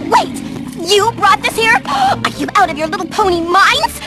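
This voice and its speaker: A very high, swooping cartoon voice for a showy female unicorn magician. She is pompous and grandiose, playing up her phrases theatrically, with music behind her. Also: big pitch swings, normal speed. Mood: alarmed, haughty. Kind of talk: animated cartoon acting.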